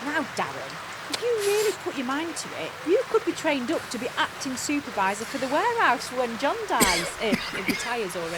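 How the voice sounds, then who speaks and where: A woman's voice: sits high, huge pitch swings, fairly quick. An actress playing a bossy, self-important, gossiping woman manager in an audio comedy drama, officious and patronising. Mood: patronising, confiding. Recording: clear rain and outdoor ambience noise.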